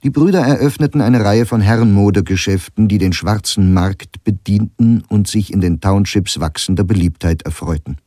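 A man reads scripted documentary narration in a measured, factual way. His voice is fairly low and mellow, recorded very dry in a studio. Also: no echo.

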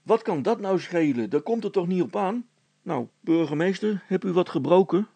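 A man's voice: high-pitched, lively intonation, normal speed, creaky, warm tone, breathy edge, dry recording, voice pushed up, exaggerated voice. A man voicing a practical, irritable father in a story, plain-spoken and urgently directing others. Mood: annoyed. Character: Brilstra, practical, irritable, take-charge father, adult man.

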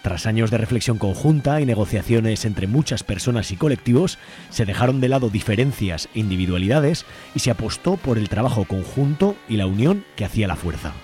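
A man's voice: fairly low pitch, expressive, brisk, dark tone. A man with an accent from Spain reading scripted narration as co-host of a radio show. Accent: Spain Spanish. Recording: music bed behind the voice. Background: music.

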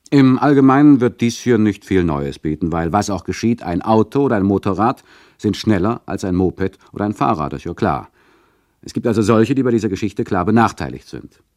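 An actor playing an ironic, chatty radio play narrator who lectures the listeners in a mock-didactic, hesitant way. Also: male voice, fairly low pitch, a little mellow, no echo, studio quality.

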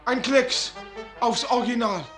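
An actor playing a dreamy, hapless, self-pitying young student in a radio drama, expressive and exclamatory. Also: male voice, very high-pitched, unhurried, reverberant, over loud music. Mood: bewildered, grumbling. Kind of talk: German radio drama acting.

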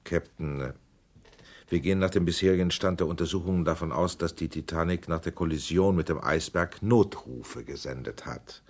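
A man's voice: low voice, moderate pace, darkish tone, slightly rough. An actor playing a male committee investigator in a radio drama, questioning witnesses in a pressing, formal way. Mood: probing. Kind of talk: 1980s radio drama acting.

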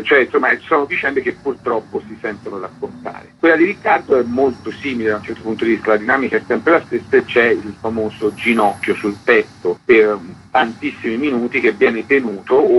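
A man, an author being interviewed, explaining things in spontaneous, unscripted speech. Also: lowish voice. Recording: dull lo-fi sound, faint background noise.

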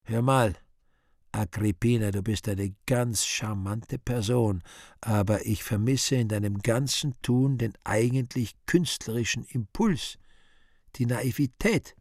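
An urbane Devil in a theatrical radio play, interrogating dead sinners. He takes his time over each phrase, ironic and mock-courteous, in a middle-pitched voice. The sound is dry and close, with no room around it. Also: slow pace, a little mellow, no room sound. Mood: bored, dismissive.

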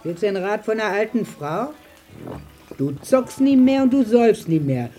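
A woman's voice: mid-range voice, very animated, moderate pace, mellow, natural voice. An actress playing an old, streetwise snack bar woman in a radio drama, plain-spoken and motherly in a colloquial way. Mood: frank, admonishing. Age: older. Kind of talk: naturalistic radio drama acting. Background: music.

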